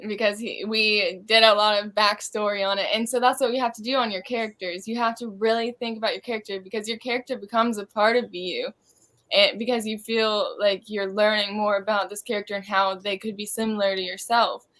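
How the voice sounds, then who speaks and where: A woman's voice: mid-range voice, fairly even intonation, brisk, a bit bright. A woman speaking informally as an interviewed stage actor, offering advice in a reflective, casual way.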